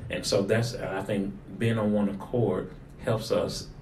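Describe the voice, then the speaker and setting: A man's voice: on the low side, little pitch movement, measured pace, darkish tone. A man who is a pastor, talking casually through his sermon ideas and repeating phrases for emphasis. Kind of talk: casual conversational discussion of a sermon. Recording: roomy echo with clear background noise.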